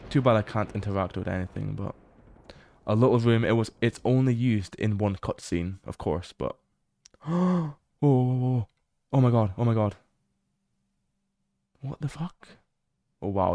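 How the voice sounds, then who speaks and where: Laid-back British guy, low and dry. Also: unhurried, creaky, dry recording. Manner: laid-back, swears freely, bursts into excited exclamations. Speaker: livestreamer. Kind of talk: casual livestream chat while exploring a game.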